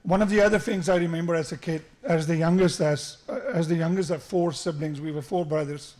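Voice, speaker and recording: A man's voice: on the high side, steady tone, a little fast, darkish tone. A middle-aged man with a South African accent giving a joking father-of-the-groom wedding speech, with frequent restarts, into a microphone at a reception. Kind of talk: wedding toast. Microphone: handheld microphone through the sound system.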